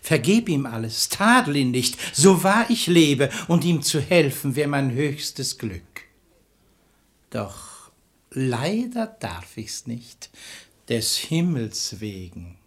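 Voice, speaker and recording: An actor playing a sanctimonious, scheming hypocrite who feigns pious suffering in unctuous, plaintive verse for a classical comedy radio play. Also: male voice, fairly high pitch. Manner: unctuous, theatrical, plaintive, self-pitying.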